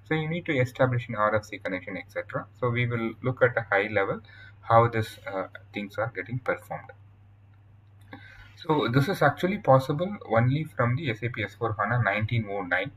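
A man with an Indian accent presenting a software tutorial in a halting, explanatory way over slides. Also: medium pitch, expressive, slightly rough, highs cut off. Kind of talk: informal scripted-outline tutorial narration over slides.

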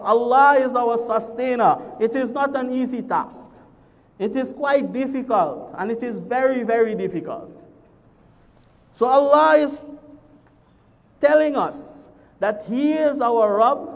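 High-voiced man preaching in a reverberant mosque. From Guyana. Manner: explains each Arabic term in English, repeating phrases. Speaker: imam giving a Friday sermon. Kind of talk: sermon. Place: large mosque hall with a crowd seated on carpets. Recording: long hall reverb.

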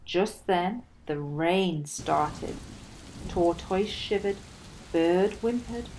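A woman reading a picture book aloud to children as an expressive storyteller, leaning deliberately on key words. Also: lowish voice, a little hiss or hum, low-quality recording.

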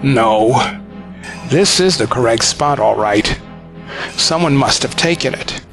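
An actor playing a steady, practical army sergeant in a wartime audio drama. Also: male voice, mid-range voice, takes it slowly. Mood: urgent. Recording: music and battle effects behind.

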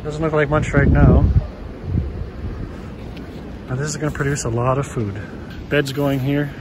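A man's voice: medium pitch, fairly even intonation, a little slow. A man in his thirties giving a casual tour of his garden outdoors, explaining his plans in a plain, practical way into a phone held at arm's length. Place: outdoors on a snowy rural acreage. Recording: loud wind and outdoor noise.

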